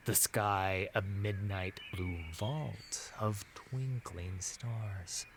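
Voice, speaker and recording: Slow, low-voiced man narrating dark fantasy. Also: steady tone, noisy background. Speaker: audiobook narrator. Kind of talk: dramatic scripted fantasy narration.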